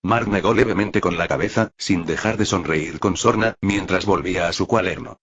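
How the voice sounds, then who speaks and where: Male narrator for prose fiction: deep register, husky edge, slightly muffled lo-fi sound. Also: normal speed, a bit bright. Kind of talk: scripted audiobook narration of fan fiction.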